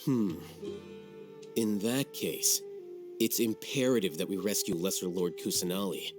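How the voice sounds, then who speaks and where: A calculating, aloof young scholar from a video game. His voice is on the low side, articulate and composed, delivering each point with measured certainty. Music plays clearly behind him. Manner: measured, matter-of-fact, articulate.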